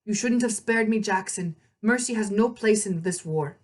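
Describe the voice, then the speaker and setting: A woman's voice: mid-range voice, moderate pace, darkish tone, distant mic, natural voice. A woman reading the part of a bitter teenage boy fighter in a storybook, sneering and dismissive.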